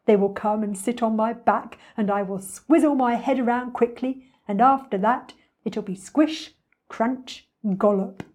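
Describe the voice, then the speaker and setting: A woman's voice: a little high, a little slow, a little mellow. A woman voicing an enormous, hungry male crocodile in a storybook, scheming and relishing each word. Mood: gloating, scheming.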